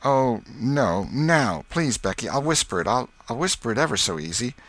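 A man's voice: medium pitch, very animated, normal speed, a bit bright, no echo, pitched above the performer's own voice. A man voicing an eager, boastful young schoolboy in a storybook, with a folksy, insistent way of talking. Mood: coaxing.

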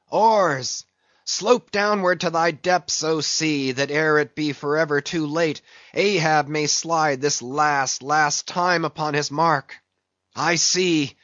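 A man's voice: on the high side, sharp tone. A man voicing an obsessed, anguished whaling captain in a declamatory audiobook style. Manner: declamatory, crying out. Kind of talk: dramatic audiobook character acting. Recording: roomy, slightly dull recording.

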